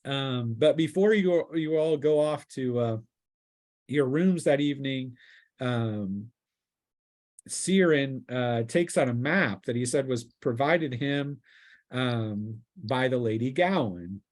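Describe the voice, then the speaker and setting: A man with a medium-pitched voice acts as game master for a tabletop session. He narrates the story and sorts out rules in a casual, explanatory manner, feeling his way through sentences with a halting delivery. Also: a little mellow.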